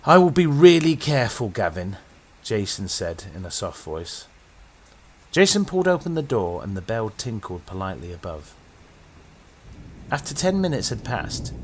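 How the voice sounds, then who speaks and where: A British man narrating a horror story in a dramatic storytelling manner. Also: slight background noise. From Britain. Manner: dramatic storytelling with sweeping pitch.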